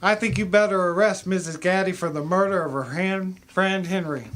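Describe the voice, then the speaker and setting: Man playing a high-pitched small-town doctor. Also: normal speed, a bit bright, faint music behind. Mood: matter-of-fact. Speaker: amateur voice actor in an audio mystery. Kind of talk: scripted radio mystery acting.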